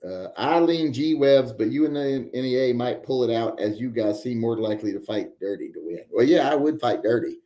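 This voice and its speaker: A man's voice: mid-range voice, brisk, hardly any pauses, warm tone, reverberant. A man in his forties hosting a live stream from home, reading viewer chat and rambling with wry joking asides. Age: 40s. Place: home room with guns on the wall.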